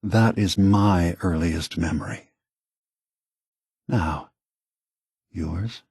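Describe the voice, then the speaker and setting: An actor playing a gentle, weary, aged male synth leader in a video game, softly persuasive and deliberate, with a breathy, husky voice. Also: sits low, no echo, heavily acted. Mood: calm, reassuring. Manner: measured, deliberate, philosophical, reassuring.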